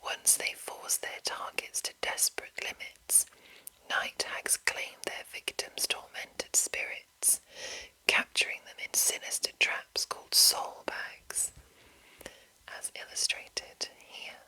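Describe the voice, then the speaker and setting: A woman whispering in a breathy, unvoiced voice as an ASMR host, reading deliberately with soft enunciation. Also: rough recording. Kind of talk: whispered ASMR reading of a game manual with casual asides.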